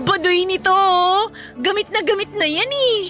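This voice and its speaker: A high, bright-voiced Filipino schoolgirl, acted by a woman in a radio romance drama. She is expressive and bantering, quick to get defensive. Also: heavily acted. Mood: playful. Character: playful, defensive teenage schoolgirl pretending to be rich.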